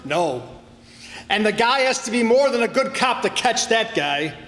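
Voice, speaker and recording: A man acts out an exasperated police inspector in a radio crime play. The voice sits high for a man and swings widely, full of bluster and sarcasm, and it rings through an echoey hall. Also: expressive, normal speed, a bit bright. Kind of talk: old-time radio crime drama acting. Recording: echoey hall stage performance.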